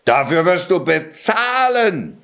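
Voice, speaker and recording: A man's voice: fairly low pitch, big pitch swings, fast, a bit bright. A man voicing a huge, fearsome, boastful ogre in a fairy tale, raging and blustering. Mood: enraged.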